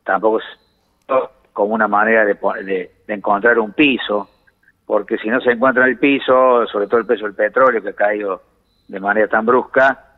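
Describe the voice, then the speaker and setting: An Argentine man giving measured economic explanations in a phone interview, pausing to search for words. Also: lowish voice, fairly even intonation. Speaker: economics commentator, phone guest. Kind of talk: explanatory radio-style interview answers. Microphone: telephone. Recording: reverberant phone call feed.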